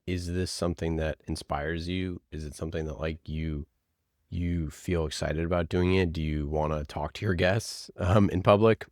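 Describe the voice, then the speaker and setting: Very low-voiced man in a casual interview. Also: no room sound, studio quality. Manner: halting, conversational, frequent "like" and "you know". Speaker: podcast producer, interview guest.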